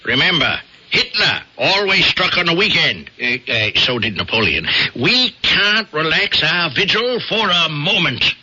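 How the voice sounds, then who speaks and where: An actor playing a pompous, zealous older newspaper boss with a husky voice and a bombastic, commanding manner in an old-time radio drama. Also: male voice, on the high side, very animated, a little slow, pushed and bright, slight background noise, full character voice. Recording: muffled vintage radio broadcast.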